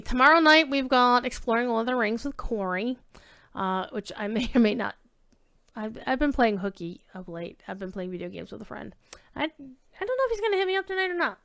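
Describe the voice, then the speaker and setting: A woman's voice: on the high side, a bit bright, clear, resonant tone. A woman livestream host chatting casually to her viewers in a rambling, informal, effusive way.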